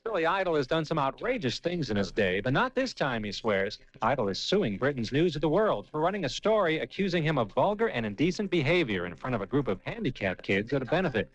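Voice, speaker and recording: A man's voice: fairly high pitch, big pitch swings, fast, few pauses, muffled recording. A man delivering a scripted entertainment news report as a radio reporter.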